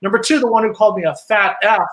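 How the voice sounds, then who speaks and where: A man co-hosting a casual sports chat, ranting sarcastically in a high voice. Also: quick pace, pushed and bright. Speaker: co-host.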